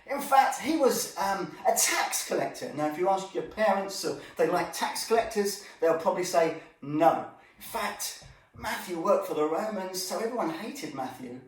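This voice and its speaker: A man's voice comes from a little way off in a very echoey room. He tells a Bible story to children as an informal lesson. His delivery is animated, with exclamations and chatty asides aimed at the young listeners. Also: sits high. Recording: echoey room, distant microphone.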